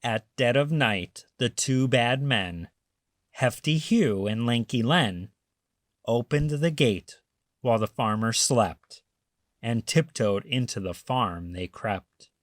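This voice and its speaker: Children's read-aloud narrator: adult, unhurried, very lively intonation, measured playful phrasing. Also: medium pitch, no echo, studio quality. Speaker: storybook reader.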